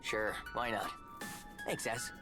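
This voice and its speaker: A man's voice: lowish voice, flat delivery, takes it slowly, music in the background. A man voicing an easygoing, curious young hero in a video game, with a casual, questioning manner. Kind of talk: scripted video game voice acting.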